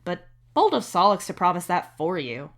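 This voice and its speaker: Young male alien caretaker played by a woman, casual, expressive, wryly protective audio-story role. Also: lowish voice, normal speed. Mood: wry, suspicious.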